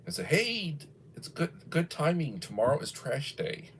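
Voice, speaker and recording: A man's voice: fairly high pitch, big pitch swings, moderate pace, very noisy. A man in his early sixties, chatting casually on a livestream, rambling and folksy as he reads viewer comments aloud. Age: 60s. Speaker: livestream host.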